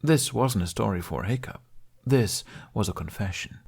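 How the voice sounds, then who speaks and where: A man with a lowish, creaky voice reads scripted fiction. He narrates in the manner of an audiobook. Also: animated, moderate pace, a little mellow.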